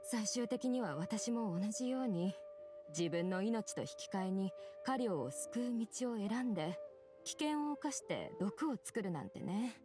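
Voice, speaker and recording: A serious, perceptive woman physician from a video game , played by an actress. She speaks with concern and measured gravity, her intonation moving widely over soft background music. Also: mid-range voice, expressive, natural voice. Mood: wistful, resigned. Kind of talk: dramatic Japanese game voice acting.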